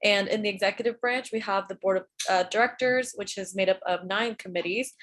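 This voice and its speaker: Young woman panelist, halting and casual. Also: mid-range voice. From Atlanta, Georgia. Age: young adult. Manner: halting, many fillers and restarts. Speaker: student government president. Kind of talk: panel introduction. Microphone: video call.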